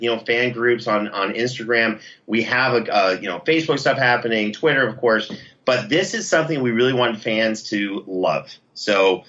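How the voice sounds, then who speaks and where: Bright, forceful man being interviewed. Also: medium pitch, lo-fi sound. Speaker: podcast host and producer, interview guest. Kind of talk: conversational interview.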